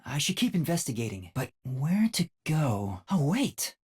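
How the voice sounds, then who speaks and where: A man playing an anxious, earnest teenage boy detective in a video game, with a soft, youthful, pondering delivery. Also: a little high, very animated, measured pace, slightly rough, exaggerated voice. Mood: deducing. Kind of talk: video game character acting, often thinking aloud.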